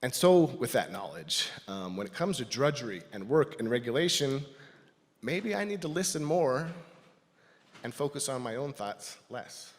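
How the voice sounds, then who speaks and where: A man with a medium-pitched voice gives a personal reflection to a congregation. He speaks thoughtfully and haltingly, letting phrases trail off softly. The sound carries the long reverb of a large indoor hall. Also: very animated. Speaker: lay speaker giving a church reflection. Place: large indoor church space.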